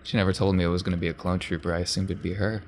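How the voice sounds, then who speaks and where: A man's voice: low-pitched, level tone, racing, slight background noise. A man playing a grave, blunt uncle giving urgent warnings in a tabletop game. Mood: guarded, reflective. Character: grave, urgent uncle who once sheltered fugitives.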